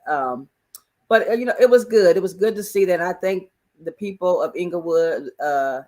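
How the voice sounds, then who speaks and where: Hoarse easygoing man on a talk show. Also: a little high. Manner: easygoing, rambling with many fillers. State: hoarse, losing his voice. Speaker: co-host. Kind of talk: casual chat.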